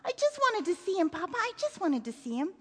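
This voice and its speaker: A woman's voice: very high-pitched, sing-song, fast talker, voice pushed up. A woman voicing a frightened, starving young boy with a plaintive, pleading manner in a dramatic book reading. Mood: pleading, upset. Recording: reverberant hall.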